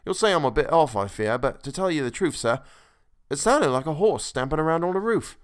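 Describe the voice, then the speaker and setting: A man's voice: on the high side, lively intonation, a little fast, clear, resonant tone, voice pushed up, natural voice. A man voicing a deferential, nervous male household servant in a gothic horror audiobook, polite, hesitant and apologetic. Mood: apologetic, uneasy.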